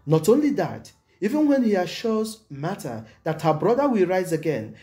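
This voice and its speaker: Mellow-voiced priest with lively intonation. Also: male voice, sits high. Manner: measured, solemn, formal delivery. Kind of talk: scripted homily. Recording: music behind the voice. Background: background music.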